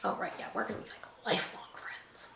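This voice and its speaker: An actress playing an earnest, stubborn believer in the supernatural, talking in an insistent, rambling way in a naturalistic drama. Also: female voice, quick pace, lightly acted. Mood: self-reproachful. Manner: insistent, rambling, self-deprecating. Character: earnest, stubborn, chatty woman who believes in ghosts. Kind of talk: naturalistic film drama acting. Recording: echoey room, distant mic, faint noise.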